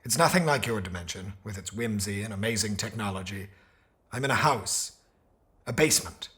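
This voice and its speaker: An actor playing an earnest, bewildered scientist in an audio drama, speaking haltingly and repeating himself. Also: male voice, fairly low pitch, lively intonation, pushed and bright. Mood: awed, somber. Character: earnest, bewildered male scientist stranded in a parallel universe.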